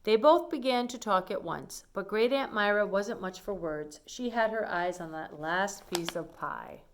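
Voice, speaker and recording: A woman's voice: medium pitch, a little slow, slight background noise. Lady doing storytime for kids, reads it expressively n adds little explanations as she goes. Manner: explains the story in simple asides. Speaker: storyteller reading aloud to children. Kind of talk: expressive children's picture book read-aloud with asides.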